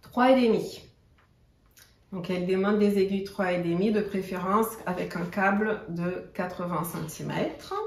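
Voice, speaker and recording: A woman whose first language is Portuguese, chatting casually about her knitting projects with many pauses and restarts. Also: medium pitch, creaky. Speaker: knitting podcaster. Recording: echoey room, mic at a distance.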